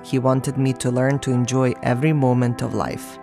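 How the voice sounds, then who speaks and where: A woman with a gravelly, creaky voice narrates in an earnest, reflective way. Loud music plays under her voice-over. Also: very deep voice, flat delivery, moderate pace. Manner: earnest, heartfelt. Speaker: documentary narrator.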